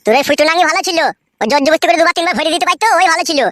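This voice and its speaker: High-pitched, whiny cartoon husband. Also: male voice, fairly even intonation, very fast, fairly bright tone, no echo, clean studio sound. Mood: insistent, angry. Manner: crude, pleading, wailing delivery. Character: whiny, foul-mouthed young newlywed husband, easily cowed. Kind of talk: amateur comic cartoon voice acting.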